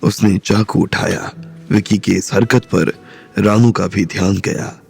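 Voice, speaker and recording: A man narrating an audio drama with steady storytelling. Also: on the low side, unhurried, creaky. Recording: soft music bed underneath.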